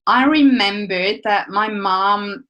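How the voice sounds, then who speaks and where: A woman's voice: medium pitch, takes it slowly, dull audio. Swiss-accented woman co-hosting a chatty podcast. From Switzerland. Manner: chatty, laughs often, restarts sentences. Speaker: podcast co-host. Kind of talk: casual podcast chat.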